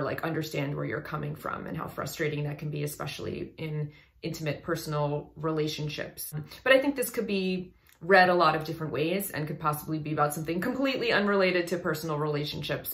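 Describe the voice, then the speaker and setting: A woman vocal coach with a low speaking voice, giving an analytical, enthusiastic commentary on a song in a reaction video. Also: fairly bright tone. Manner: analytical, enthusiastic, talks over paused song playback.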